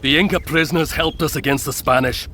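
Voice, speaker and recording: An actor voicing a gruff, proud knight and sea captain in a video game cutscene, terse and commanding. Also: male voice, a little high, brisk, pushed and bright, slight background noise. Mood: stern, doubtful.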